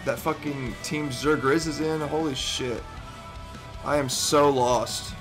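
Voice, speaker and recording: Hoarse young man reacting excitedly. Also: medium pitch, takes it slowly, soft background music. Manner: excitable, swears often, stammers and laughs. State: hoarse, voice nearly gone. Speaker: YouTuber reacting to a gaming montage. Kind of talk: casual reaction commentary. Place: bedroom indoors. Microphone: over-ear headset.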